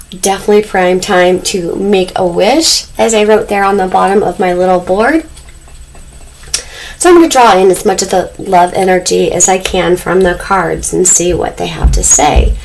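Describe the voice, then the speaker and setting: A woman doing a casual tarot reading to camera, a little husky as she is losing her voice, talking softly and calmly in a dim room. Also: on the low side, rough recording. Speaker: tarot reader. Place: dim room indoors lit by candles. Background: faint noise from loud neighbors upstairs.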